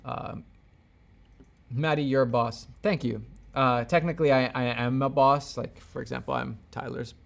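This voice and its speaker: A man's voice: medium pitch, steady tone, moderate pace, vocal fry. A man hosting a casual livestream as a camera gear YouTuber, rambling and thinking aloud as he gives opinions and answers viewers. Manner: off-the-cuff, rambling, thinking aloud, backtracking mid-thought.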